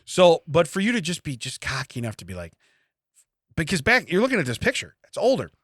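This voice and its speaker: A man's voice: on the high side, wildly swooping pitch, brisk, fairly bright tone, breathy edge, no echo, clean studio sound. A middle-aged man co-hosting a comedy podcast, rambling through odd facts in casual chat and repeating phrases for comic emphasis.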